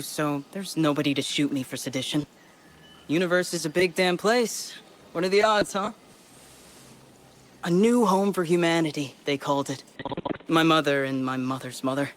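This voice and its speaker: A man's voice: fairly high pitch, normal speed, long pauses, a bit bright, natural voice. An actor playing a lonely male colonist survivor, bitter and weary, rambling through a desperate audio log for a game, with faint ambient noise behind.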